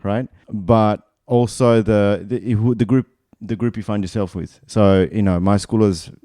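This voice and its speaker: A very deep-voiced man in his thirties, born in Bangladesh, chatting as a podcast guest. His tone is warm and smooth, and he thinks aloud, circling round his point and correcting himself as he goes. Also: fairly even intonation, a little slow, no echo, studio quality. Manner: thoughtful, meandering, self-correcting. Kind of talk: casual conversation.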